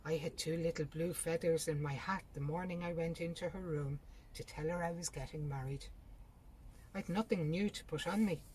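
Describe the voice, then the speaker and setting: A woman's voice: sits low, moderate pace, a little mellow, a little hiss or hum, close to an everyday voice. A woman reading as a wounded, wistful daughter. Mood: wistful hurt. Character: wistful, wounded married daughter, proud yet pleading.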